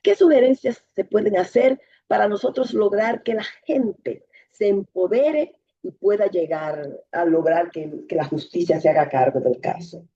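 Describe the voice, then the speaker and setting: A woman's voice: medium pitch, unhurried, few pauses, dark tone, slightly rough. A woman from the Republic, speaking up earnestly in an online seminar, with many hesitations and restarts. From Dominican Republic. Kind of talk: spontaneous spoken contribution. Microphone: video call. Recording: dull, lo-fi video call audio.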